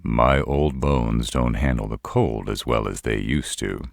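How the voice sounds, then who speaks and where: A man playing a gruff, protective older father in a roleplay audio, with a gravelly voice and a slow, deadpan, measured delivery. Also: no room sound, studio quality, exaggerated voice. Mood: gentle, firm. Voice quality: deep, gravelly. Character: gruff old father of a werebear's mate, protective, dryly stern.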